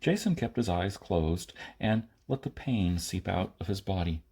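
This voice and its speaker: A man's voice: low-pitched, expressive, a little slow, mellow, slightly rough. A man reading a science fiction story aloud as scripted audiobook narration. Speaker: audiobook reader.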